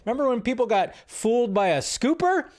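A man's voice: extremely high pitch, lively intonation, fairly quick, a little mellow. A man hosting a livestream with casual, gushing movie-news commentary, rambling in slangy, emphatic phrases and repeating himself for emphasis. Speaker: livestream host.

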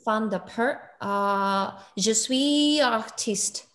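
A woman student practicing a beginner French conversation on a video call, hesitating and pausing often. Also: fairly high pitch, a bit bright. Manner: hesitant, many pauses and fillers while practicing French.

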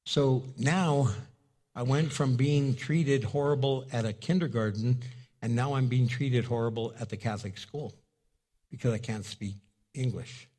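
Man, pastor, sermon, first language Spanish, husky, storytelling, loud high bursts.

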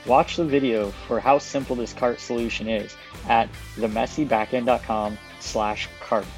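A man co-hosting a podcast, reading sponsor thanks in a steady, scripted way. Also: medium pitch, moderate pace, faint music behind. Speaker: podcast co-host. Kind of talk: sponsor reads.

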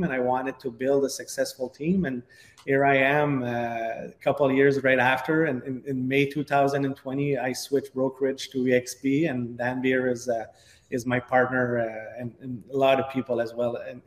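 A middle-aged man from Quebec with a French Canadian accent, a native French speaker, tells his story in a conversational interview with halting phrasing. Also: medium pitch, level tone. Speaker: podcast guest, real estate agent. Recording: echoey, reverberant room.

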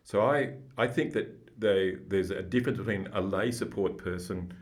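A man with a booming voice, speaking as a psychologist guest, explaining things slowly and deliberately. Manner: slow, deliberate, explanatory, with restarts. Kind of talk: calm, measured podcast conversation.

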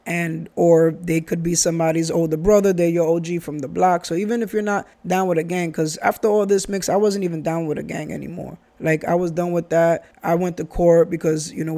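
A man's voice: fairly high pitch, mellow. A man, talking earnestly in a casual conversation, often restarting and repeating phrases. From Bronx, New York. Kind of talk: casual chat.

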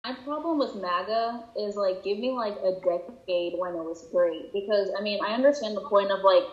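A woman's voice: mid-range voice, moderate pace. Teen girl, panelist, blunt and opinionated, muffled echoey recording. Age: teens. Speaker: discussion participant. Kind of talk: casual group discussion.

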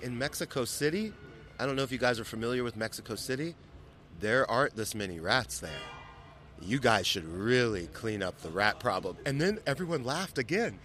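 A man's voice: medium pitch, animated, normal speed, a bit bright. A man hosting a casual street interview, talking in slangy, sweary banter outdoors. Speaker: podcast host. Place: outdoors on a New York street.

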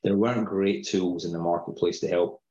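Deep-voiced Scottish man, roomy sound. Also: steady tone, dark tone, highs cut off. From Scotland. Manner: measured, explanatory, uses filler phrases. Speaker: company founder guest. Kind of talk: podcast interview.

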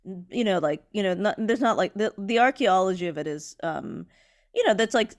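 A woman's voice: mid-range voice, lively intonation, brisk. Chatty woman co-host explaining history. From not from India. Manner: chatty, self-correcting, lots of fillers. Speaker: podcast co-host. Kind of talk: casual chat, explaining art history.

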